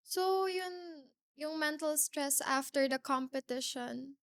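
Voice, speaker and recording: A nineteen-year-old Filipino woman with a Filipino accent, a pageant winner answering interview questions in a measured, careful way with small fillers. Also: high-pitched, fairly bright tone, dry recording, clean studio sound. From Philippines. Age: teens.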